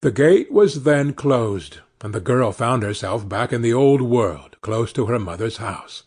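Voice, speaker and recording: A male storyteller narrates a scripted fairy tale. His voice is mid-pitched and somewhat husky, with lively intonation. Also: measured pace.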